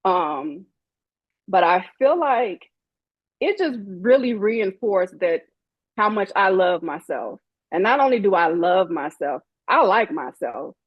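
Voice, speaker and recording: A woman's voice: mid-range voice, very animated, slow, dry recording, dull audio. A woman in her forties, a guest in an interview, speaking candidly in a sassy, emphatic way and acting out the people she quotes. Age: 40s.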